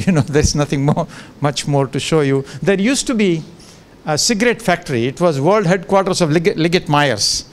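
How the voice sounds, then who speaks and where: Chatty older man lecturing on a handheld mic. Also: fairly high pitch. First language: Gujarati. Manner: chatty, jokey, many fillers and restarts. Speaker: professor giving a guest lecture. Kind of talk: informal lecture with asides. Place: large lecture room. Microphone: handheld microphone.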